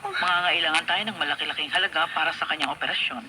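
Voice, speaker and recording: An actor playing a grave doctor in a Filipino radio drama, measured and formal, on a noisy recording. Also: mid-range voice, fairly even intonation, sharp tone. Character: grave doctor delivering news.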